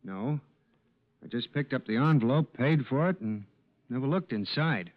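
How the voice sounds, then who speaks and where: An actor playing a plain-spoken, anxious husband and brother, folksy and blunt, in 1940s radio style. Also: male voice, mid-range voice, expressive, normal speed, natural voice. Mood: worried, downcast. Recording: muffled, noisy old-time radio recording.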